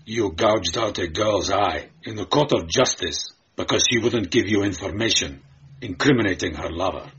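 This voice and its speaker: A man's voice: deep voice, normal speed, fairly bright tone, husky, dull audio, heavily acted. A man voicing a bitter, sullen enslaved charioteer who answers plainly in an audiobook story. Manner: sullen, matter-of-fact. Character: bitter, sullen male slave charioteer, unafraid.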